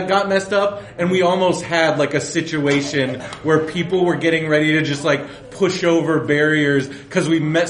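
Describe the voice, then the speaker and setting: A man with a loud, projecting voice, chatting casually and telling animated stories about an old job. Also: a little high, echoey room. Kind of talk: casual chat.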